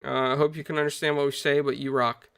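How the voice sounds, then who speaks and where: Bright, upbeat podcast host guy. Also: mid-range voice, little pitch movement, quick pace, creaky, clear, resonant tone, no echo, clean studio sound. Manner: upbeat, shouts out viewers. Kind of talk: casual gaming podcast chat.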